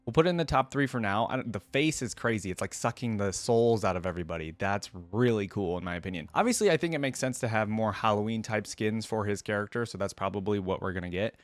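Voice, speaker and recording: A man's voice: lowish voice, animated, fast, hardly any pauses, dry recording. A man hosting a reaction video, giving casual, informal off-the-cuff commentary and thinking aloud as he goes. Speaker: video host reacting to game content.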